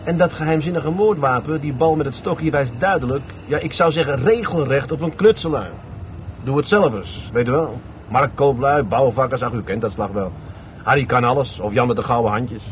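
A man playing a plain-spoken middle-aged police adjutant in a radio drama. His medium-pitched voice is colloquial and stubbornly matter-of-fact, with faint vehicle noise behind it. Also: normal speed, natural voice. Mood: reasoning aloud. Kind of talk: Dutch radio play acting.

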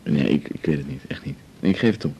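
A man's voice: extremely low pitch, expressive, brisk, dark tone, dull audio, slight background noise. A Dutch actor playing an easygoing, reassuring male partner at home in a naturalistic radio drama. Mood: curious, puzzled.

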